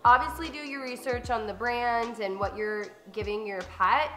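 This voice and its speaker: A woman's voice: a little high, steady tone, normal speed, a bit bright, reverberant, soft background music. A woman in her thirties chatting casually to the camera from her living room couch, upbeat and full of "like" and "um". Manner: chatty, upbeat, many "like" and "um" fillers. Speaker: lifestyle vlogger. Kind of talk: casual haul chat to camera. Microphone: camera in front, medium framing.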